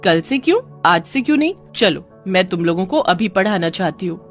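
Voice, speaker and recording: A woman's voice: lowish voice, lively intonation, brisk, soft background music. A woman voicing a kind, determined schoolteacher in a children's moral story. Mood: encouraging.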